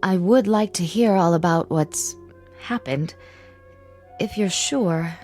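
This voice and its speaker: A courteous grown daughter in a television drama, with a slightly low voice. She is reserved and careful, a little hesitant in how she answers, over faint music. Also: slow, mellow. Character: polite, cautious grown daughter, reserved, gentle-mannered. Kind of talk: dramatic acting in a TV anthology drama.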